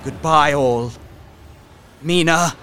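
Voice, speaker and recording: A man playing a terrified young captive in a gothic radio play, speaking his journal with intense, deliberate drama over music. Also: a little high, pushed and bright. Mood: desperate resolve. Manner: theatrical, intense, deliberate archaic diction. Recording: music under the voice.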